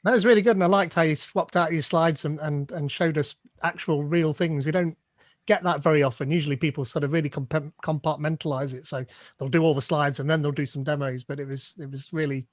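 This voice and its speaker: Man, meetup host, chatty, friendly, fairly high voice, dry sound. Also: steady tone, fast talker, no room sound. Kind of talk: casual Q&A hosting.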